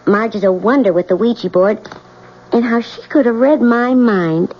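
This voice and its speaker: An actress playing a scheming wife in a 1970s radio drama, sweetly coaxing and sly. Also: female voice, a little high, moderate pace, close to an everyday voice. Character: scheming, coaxing wife conning her gullible husband. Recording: muffled old radio recording, faint noise.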